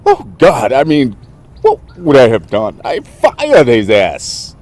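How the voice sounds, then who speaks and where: An actor playing a haughty, short-tempered royal man in a film drama, with a pompous, halting delivery. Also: mid-range voice, huge pitch swings, a little mellow. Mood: contemptuous outrage.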